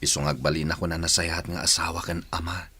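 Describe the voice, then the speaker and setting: A man's voice: extremely low pitch, flat delivery, quick pace, sharp tone, slightly rough, heavily acted. A man with an Ilocano accent acting a repentant husband and father in a radio drama, earnest and pleading in manner. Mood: earnest, remorseful.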